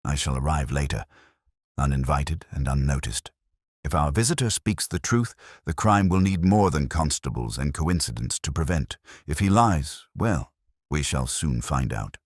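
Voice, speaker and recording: A man voicing a shrewd, commanding gentleman detective in an audiobook, clipped and formal. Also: extremely low pitch, expressive, normal speed, no echo, studio quality.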